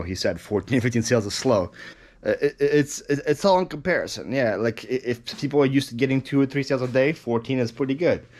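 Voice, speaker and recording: A man's voice: mid-range voice, darkish tone. A young man with a Ukrainian accent who was born in Ukraine, talking loosely in a casual vlog with lots of ums and restarts. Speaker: reseller vlogger. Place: warehouse.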